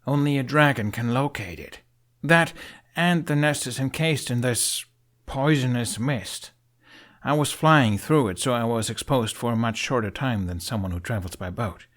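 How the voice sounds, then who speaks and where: A man voicing a grown young dragon rider in an audiobook, wry, earnest and a little awkward, speaking in a halting, emphatic way. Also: mid-range voice, a bit bright, natural voice. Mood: urgent, insistent.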